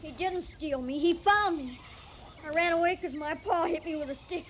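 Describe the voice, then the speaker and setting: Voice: very high voice, moderate pace, a bit bright. An actor playing a frightened, stubborn young runaway boy with plaintive, simple phrasing in a radio western. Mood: defiant, upset. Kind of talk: 1950s radio western acting. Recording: old radio recording, faint noise.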